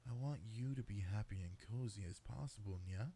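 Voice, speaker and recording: A man playing an affectionate cat-boy boyfriend in an intimate sleep-aid roleplay, speaking softly and coaxingly. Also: fairly low pitch, normal speed, a little hiss or hum, close to an everyday voice. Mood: doting, playful. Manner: soft, tender, coaxing, intimate. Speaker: roleplay voice actor.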